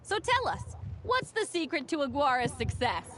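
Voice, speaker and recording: A high, lively voice swoops through the lines of a cheerful female tournament emcee. She has a bright, showy announcer's delivery, addressing a crowd in a game. Music plays clearly under her. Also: moderate pace. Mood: upbeat. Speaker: tournament announcer interviewing finalists. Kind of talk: video game voice acting.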